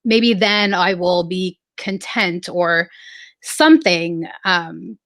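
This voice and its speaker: A woman from Southern California, a guest artist, telling her life story in a reflective, halting way with many fillers. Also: fairly low pitch, fairly bright tone, no room sound. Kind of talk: casual interview storytelling.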